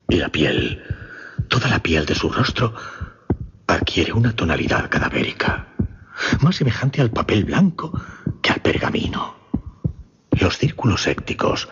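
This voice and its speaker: An actor playing a grave mesmerist who recounts a horrifying case in a husky, breathy voice, halting and suspenseful, in radio drama style. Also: male voice, deep voice, fairly bright tone, full character voice. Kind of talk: dramatic radio horror storytelling. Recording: dull radio drama recording.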